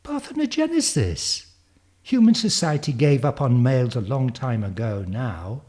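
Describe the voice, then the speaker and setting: A man voicing a confident, precocious ten-year-old girl from the future in a storybook, brisk and matter-of-fact. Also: mid-range voice, very animated, darkish tone, natural voice. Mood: brisk, assured. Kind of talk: storybook character reading.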